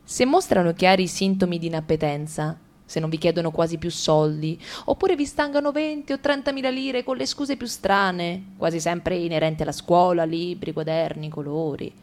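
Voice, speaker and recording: A woman reading a literary text for radio theatre in a measured, ironic storyteller tone. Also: on the low side, a little mellow. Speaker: radio drama reader.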